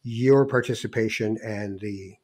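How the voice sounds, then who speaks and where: A man's voice: on the low side, unhurried, a little mellow. A man hosting a podcast in a casual interview style, introducing clips and questioning his guest. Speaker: podcast host.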